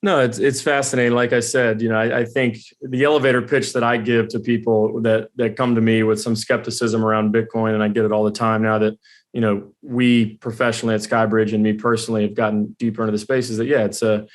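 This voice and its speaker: A man's voice: on the low side, flat delivery, fast. A man hosting an interview show, asking long, winding questions. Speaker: podcast host.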